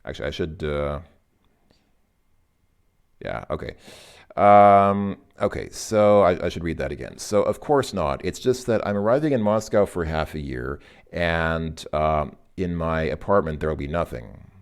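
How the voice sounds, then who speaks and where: Low-voiced male tutor, casual, hesitant, self-correcting explainer. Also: expressive, unhurried, clean tone. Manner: thinks aloud, many fillers and self-corrections. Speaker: language teacher. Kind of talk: casual recorded lesson explanation.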